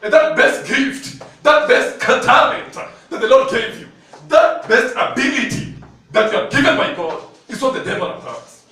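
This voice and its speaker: A man's voice: extremely high pitch, normal speed. A man with a Kenyan accent, a Luhya native speaker, preaching a sermon in a church and telling stories animatedly with frequent laughter. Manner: animated storytelling, laughing often. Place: church hall. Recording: very echoey hall, from far off, noisy.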